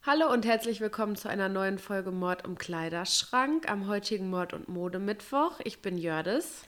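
A woman's voice: medium pitch, animated, normal speed. A woman chatting casually and emphatically as a podcast co-host, a little stuffy from a cold.

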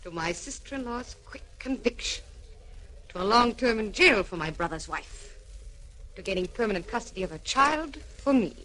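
A woman's voice: fairly high pitch, lively intonation, moderate pace, bright, forceful tone, slight background noise, poor audio. An actress playing a cold, scheming society woman in an old radio drama, haughty, sardonic and precise. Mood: gloating. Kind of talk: 1940s-50s radio acting.

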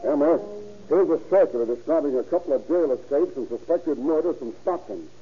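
A man acting a matter-of-fact, commanding police detective in an old radio crime drama, with a husky voice. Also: a little high, expressive, brisk, faint music behind, lightly acted. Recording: dull old-time radio recording.

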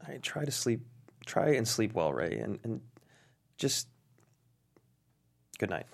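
A man playing an earnest, shaken, protective friend with a halting, pleading way of speaking in a dramatic script reading. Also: fairly low pitch, big pitch swings, creaky, slightly rough, full character voice. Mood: rattled. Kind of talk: screenplay table read.

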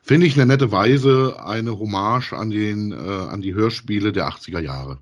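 A middle-aged man co-hosting a casual review podcast, speaking in a halting, hesitant way. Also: lowish voice. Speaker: podcast co-host. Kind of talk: casual review chat about audio dramas.

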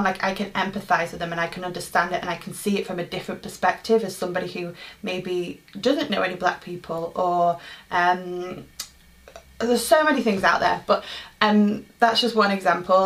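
A woman's voice: lowish voice, fairly bright tone, rough recording. A young woman from Manchester, hosting a podcast and answering listener questions in a casual, earnest monologue with frequent ums and restarts. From Manchester, England. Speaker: podcast host.

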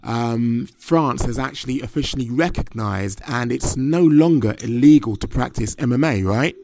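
A man's voice: medium pitch, moderate pace, darkish tone. A man interviewing a fighter, asking long leading questions in slightly formal phrasing. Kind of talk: sports interview.

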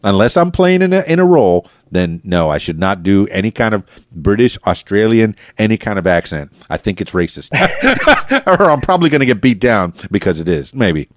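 A man's voice: fairly low pitch, very animated, normal speed, dry recording, studio quality. An American man hosting a podcast, chatting casually in a rambling, jokey way with his guest. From United States. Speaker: podcast host. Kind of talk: casual interview chat.

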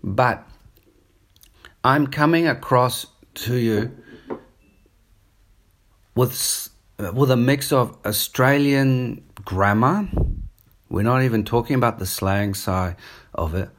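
A man's voice: medium pitch, takes it slowly, pauses often, fairly bright tone, slight background noise. Rambling man with a New Zealand accent. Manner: rambling, digressive, conversational. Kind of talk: casual spoken monologue. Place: on holiday up the coast in Australia.

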